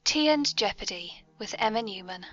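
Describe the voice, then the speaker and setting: A woman's voice: mid-range voice, lively intonation, normal speed, a bit bright, faint music behind. An English woman hosting a whimsical podcast in a playful, polite manner, on a dull amateur recording. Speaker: podcast host.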